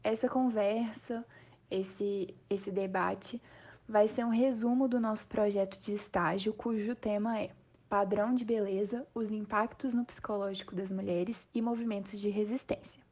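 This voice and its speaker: A woman's voice: medium pitch, fairly even intonation, moderate pace, a little mellow. A Brazilian woman of twenty, a psychology student, opening a podcast in an earnest, welcoming way. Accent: Brazilian Portuguese. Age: 20s. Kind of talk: podcast introduction and discussion.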